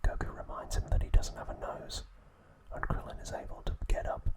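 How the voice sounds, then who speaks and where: A man narrating scripted ASMR in a breathy, unvoiced whisper, with a measured, soothing delivery. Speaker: ASMR channel host.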